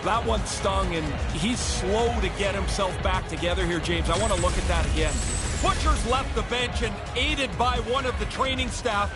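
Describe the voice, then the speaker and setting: A man's voice: high-pitched, moderate pace, over loud music, lightly acted. A man playing a hockey game's colour analyst, with a conversational, explanatory way of talking. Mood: analytical. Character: male hockey colour analyst in a video game. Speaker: colour commentator. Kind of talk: sports broadcast analysis.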